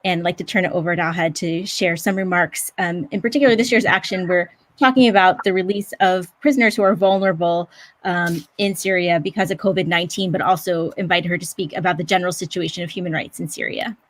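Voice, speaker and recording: Lower-voiced woman moderating online. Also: fairly even intonation, quick pace. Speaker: event moderator. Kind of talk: introducing speakers. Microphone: video call.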